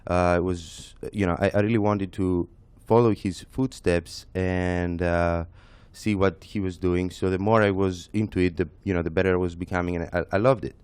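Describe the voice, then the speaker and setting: A young man with a Greek accent, co-hosting a real estate radio talk show, halting with many fillers. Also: sits low, steady tone, darkish tone, clear, resonant tone, no echo. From Greece. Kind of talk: casual radio chat. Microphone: studio microphone.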